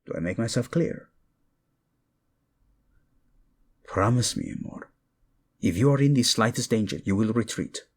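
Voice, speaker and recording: An actor playing a protective, lovesick king in a romantic audio drama, speaking tenderly and haltingly with a Spanish accent. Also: fairly low pitch, expressive, slightly rough. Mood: worried, commanding. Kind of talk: intimate romantic audio drama acting addressed to listener.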